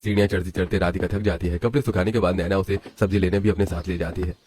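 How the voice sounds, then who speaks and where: Fast-talking low-voiced male narrator. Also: steady tone, darkish tone. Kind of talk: scripted story narration. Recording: music under the voice. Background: background music.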